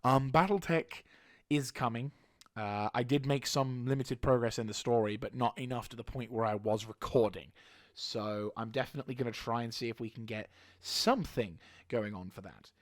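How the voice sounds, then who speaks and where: A man with a slightly hoarse throat giving a casual channel update as a gaming YouTuber, rambling and halting with frequent restarts. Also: mid-range voice, dry recording, studio quality.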